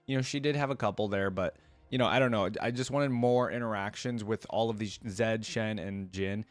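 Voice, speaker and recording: A man's voice: on the low side, brisk, dry recording, faint music behind. A man giving casual reaction commentary as a video host, thinking aloud in an informal, rambling way.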